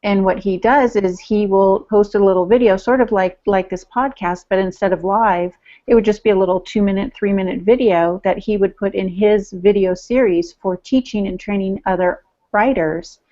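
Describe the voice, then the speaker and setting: A woman's voice: medium pitch, fairly even intonation, normal speed, mellow. A woman co-hosting a podcast over an online call, telling stories in a casual, conversational way. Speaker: podcast co-host. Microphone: online video call. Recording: dull, lo-fi internet call audio.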